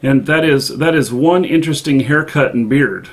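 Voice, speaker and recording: Halting man hosting a call-in show. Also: medium pitch. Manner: halting, hesitant, polite. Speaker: live show host taking callers. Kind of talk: unscripted call-in show chat.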